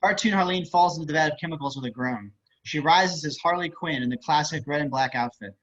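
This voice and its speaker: A man's voice: fairly high pitch, fairly quick, fairly bright tone. A man reading stage and screen directions aloud as scripted narration. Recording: muffled online call audio.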